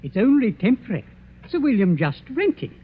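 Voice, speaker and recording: High-voiced, singsong deferential manservant. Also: male voice, big pitch swings, moderate pace, breathy edge, exaggerated voice. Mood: servile, courteous. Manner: obsequious, formal. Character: Lane, deferential manservant with chatty politeness. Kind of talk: 1940s radio mystery acting. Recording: muffled, hissy vintage 1940s radio recording.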